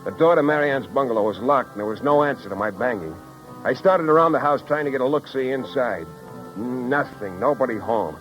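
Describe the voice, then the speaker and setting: An actor playing a wry, tough, world-weary private detective in a 1950s radio drama, with slangy, wisecracking delivery and narration. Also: male voice, medium pitch, lively intonation, a little fast, soft background music, lightly acted. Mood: brooding, wry. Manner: hard-boiled, wisecracking, slangy. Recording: dull vintage 1950s radio recording.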